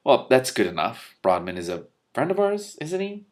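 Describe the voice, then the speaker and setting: A shrewd master thief from a storybook, read by a man. He speaks in a measured, pleasant way, always calculating. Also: medium pitch, wildly swooping pitch, pitched above the performer's own voice. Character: shrewd, pleasant-mannered male thieves' boss.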